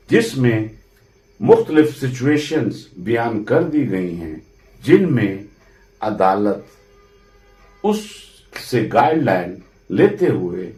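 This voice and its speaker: Guy teaching law, really slow and deliberate, emphatic, echoey room. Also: medium pitch. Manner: emphatic, deliberate, repeats points in rephrasing. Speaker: law lecturer. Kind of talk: explanatory lecture mixing Urdu with English legal terms.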